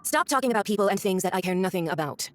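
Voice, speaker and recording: A woman's voice: mid-range voice, expressive, very fast, background noise, natural voice. A woman voicing a haughty, mocking villainous diplomat in a video game, condescending and theatrical. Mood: dismissive.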